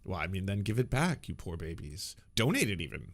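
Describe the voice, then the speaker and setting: A man's voice: low-pitched, big pitch swings, fairly quick. A man hosting a sarcastic reaction commentary. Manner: sarcastic, mocking. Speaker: commentary host.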